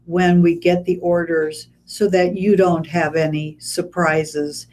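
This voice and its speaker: A woman with a Midwestern American accent, a speech pathologist guest on a radio interview, explaining things in a measured, step-by-step way. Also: fairly low pitch, level tone, a little mellow. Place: radio studio.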